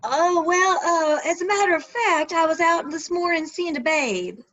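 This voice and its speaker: A woman's voice: extremely high pitch, lively intonation, moderate pace, a bit bright, lo-fi sound. A woman voicing a lonely, timid elder sister in a stage play reading, talking hesitantly and tearfully with stammers. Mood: tearful, distraught.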